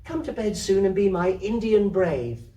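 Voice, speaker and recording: A man's voice: sits high, animated, moderate pace, dark tone, pitched above the performer's own voice. A man voicing a curious, imaginative little girl in a storybook, full of eager questions and childlike prattle. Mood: playful, pleading. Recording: roomy echo, distant microphone.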